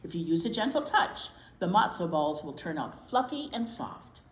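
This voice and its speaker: Woman reading as a lively, confident storybook grandma. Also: fairly low pitch, normal speed. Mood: instructing. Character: cheerful, confident, unbothered grandmother in a picture book. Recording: roomy echo with background noise.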